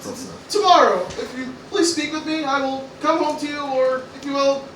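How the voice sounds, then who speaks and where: Man doing an anxious high-pitched teenage boy. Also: moderate pace, fairly bright tone. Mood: hesitant. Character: hesitant, earnest, anxious young teenage boy, noble Roman. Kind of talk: amateur comedic Shakespeare parody performance. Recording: very echoey, distant mic, noisy.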